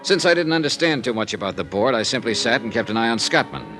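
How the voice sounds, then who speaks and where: A hard-nosed American investigator from a 1950s radio drama. His delivery is clipped and wry, with lively swings in pitch as he reports like a man filing his expenses. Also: fast, soft background music. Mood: dry narration. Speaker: lead actor and narrator of a radio detective series. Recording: vintage 1950s radio recording.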